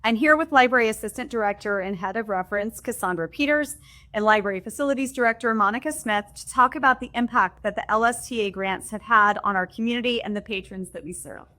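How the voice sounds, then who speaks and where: A woman's voice: a little high, fast talker, pushed and bright. Woman hosting with a formal, prepared read. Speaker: library development director hosting. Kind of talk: reading prepared remarks.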